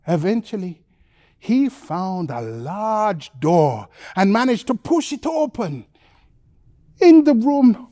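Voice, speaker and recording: A woman's voice: medium pitch, dark tone, dry recording. Casting: female bedtime storyteller, theatrical and suspenseful, widely swooping intonation, close dry sound. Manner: dramatic, drawn-out phrasing with suspenseful pauses.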